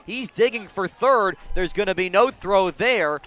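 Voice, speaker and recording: A man's voice: sits high, a little slow, fairly bright tone. A man doing live radio baseball play-by-play as the announcer, outdoors at a ballpark. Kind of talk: live radio sports commentary.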